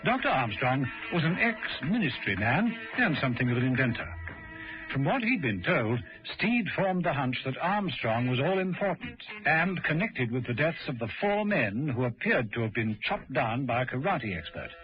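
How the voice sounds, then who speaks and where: A man narrates an old radio thriller with lively, varied intonation at a middle pitch. The recording is dull and dated, with faint music behind the voice. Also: normal speed. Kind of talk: scripted radio drama narration.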